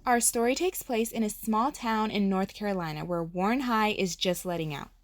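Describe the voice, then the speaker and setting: A woman's voice: medium pitch, moderate pace, no room sound. A woman reading scripted narration for a story, as its narrator.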